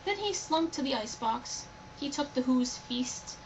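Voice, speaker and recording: A woman reading a rhyming storybook aloud with expressive narration. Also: sits high, a little slow. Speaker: storybook reader. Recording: dull, lo-fi, loud background noise.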